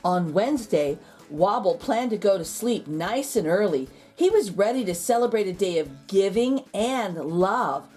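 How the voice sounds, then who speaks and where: A woman storyteller reading a children's picture book aloud in an expressive narrating style. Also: mid-range voice, unhurried, hardly any pauses, breathy edge, faint music behind.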